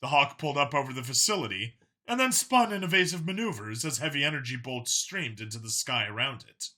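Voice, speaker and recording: A man's voice: medium pitch, lively intonation, fairly quick, bright, forceful tone. A man reading a scripted story as a dramatic audiobook narrator.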